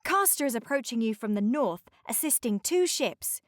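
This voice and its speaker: Storybook helicopter, female, voiced by a woman narrator: brisk, upbeat, crisp radio calls, dry sound. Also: fairly high pitch, lively intonation, no echo, natural voice. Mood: upbeat, businesslike. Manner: crisp radio-call delivery. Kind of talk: children's storybook character voice.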